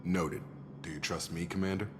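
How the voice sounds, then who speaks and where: An actor playing a jaded, dutiful ex-military operative in a video game, plain-spoken and matter-of-fact. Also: male voice, low voice, steady tone, moderate pace, over music, natural voice. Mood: guarded, matter-of-fact. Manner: matter-of-fact, world-weary. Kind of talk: cinematic video game dialogue. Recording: long reverb, loud background noise.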